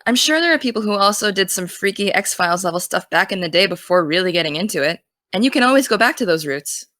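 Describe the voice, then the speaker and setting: A young American woman playing an excitable, teasing teenage aspiring journalist in naturalistic, casual audio drama dialogue. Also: mid-range voice, fast talker, no room sound, clean studio sound, close to an everyday voice. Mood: upbeat, teasing.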